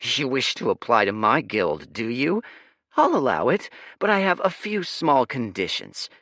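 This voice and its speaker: A man's voice: big pitch swings, a little slow, a bit bright, no echo, clean studio sound, full character voice. A man voicing a pompous, whiny young noble boy who yelps and snivels. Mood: indignant. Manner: shrill, yelping and sniveling.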